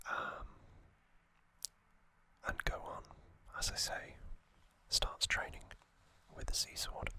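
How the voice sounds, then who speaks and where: Slow whispering man, close to the mic. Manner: soft unvoiced whisper with frequent ums and uhs. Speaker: ASMR channel host. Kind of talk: whispered ASMR trivia narration.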